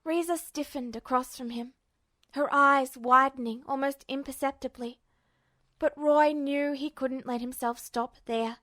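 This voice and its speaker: High-voiced woman narrating fan fiction. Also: steady tone, fairly bright tone, dry recording, clean studio sound. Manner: emotive, measured narration. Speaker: podfic reader.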